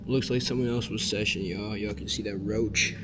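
A young man casually vlogging while he explores an abandoned school, talking straight to his viewers in relaxed slang. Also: lowish voice, normal speed, noisy background. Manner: laid-back slangy, keeps addressing viewers. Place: abandoned school, indoors and on roof. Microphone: handheld camera at arm's length.

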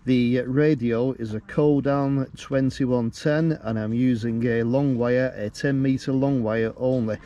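Male amateur radio operator, dark relaxed tone, middle pitch, narrating and talking on air. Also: a little slow, few pauses, muffled recording. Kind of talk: video narration and radio contact talk. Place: outdoors at a farm field station.

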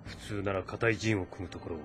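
A man playing an earnest, cautious warlord of ancient China in a game drama, with a weighty, deliberate delivery. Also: low voice, fairly even intonation, fairly bright tone, faint music behind. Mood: uneasy. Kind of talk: dramatic audio-novel acting in a video game.

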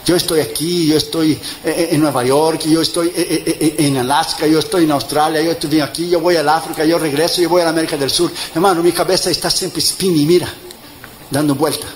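A man in his fifties, an evangelist preaching a sermon, tired, emphatic, mixing jokes with loud rising exhortation. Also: a little high, fairly even intonation, fairly quick. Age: 50s. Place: church in front of a congregation. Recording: echoey church with crowd and noise.

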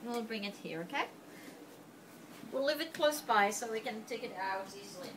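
A woman's voice: on the high side, lively intonation, moderate pace. A woman chatting casually and encouragingly to a small child, as his mother. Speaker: mother watching her young son. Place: outdoors on a patio. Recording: noisy home video, off camera.

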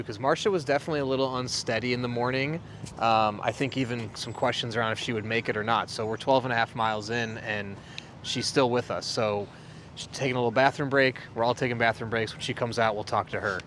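Casting: male host, mid-range voice, relaxed interview chat, outdoors with clear urban noise. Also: mid-range voice, a little fast. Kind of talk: casual vlog chat and interviewing. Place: outdoors at a rest stop by the beach.

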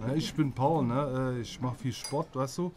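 A man acting a sporty, laid-back single bloke in an audio drama, speaking haltingly with casual fillers and tag questions. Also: mid-range voice, a little hiss or hum, close to an everyday voice. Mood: eager, casual. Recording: lively crowd chatter behind. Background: crowd chatter.

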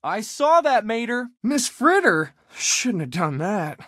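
A man's voice: high-pitched, very animated, slow, a bit bright, no room sound, clean studio sound, full character voice. A man with an American accent voicing a cocky, good-natured young race car in a video game, breezy, boastful and teasing. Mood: annoyed. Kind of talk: video game voice acting, short barks.

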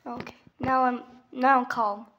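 High-voiced, hesitant young woman. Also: little pitch movement, unhurried, dull audio. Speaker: reaction video maker. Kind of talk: casual, emotional reaction commentary.

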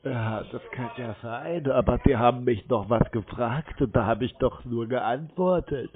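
A man in a deadpan, mock-naive and contrary manner, chatting as a prankster on a phone call. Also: medium pitch, a little slow, husky. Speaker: prank host answering scam calls. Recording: tinny phone-quality audio.

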